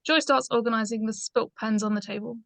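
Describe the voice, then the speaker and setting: A woman's voice: fairly high pitch, fairly even intonation, fairly quick, creaky, fairly bright tone, dry recording. A woman reading out the stage directions of a screenplay as scripted narration.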